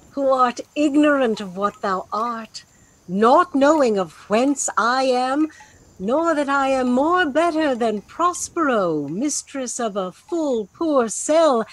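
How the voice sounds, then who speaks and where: A woman's voice: a little high, low-quality recording. A woman playing a commanding, tender sorceress mother with stately delivery in a Shakespearean radio play. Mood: soothing, tender. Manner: stately, deliberate. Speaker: actor in a Shakespeare audio play. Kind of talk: Shakespearean radio drama acting.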